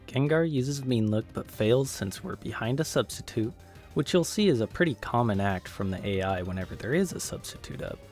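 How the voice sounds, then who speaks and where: A man narrating scripted gameplay commentary in a casual, wry, self-deprecating way. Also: medium pitch. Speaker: gaming video creator and narrator.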